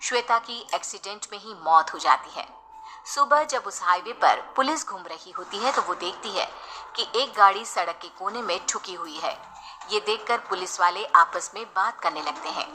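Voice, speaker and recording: A woman's voice: mid-range voice, animated, moderate pace, sharp tone, slight background noise. A woman narrating a scripted horror bedtime story as a storyteller.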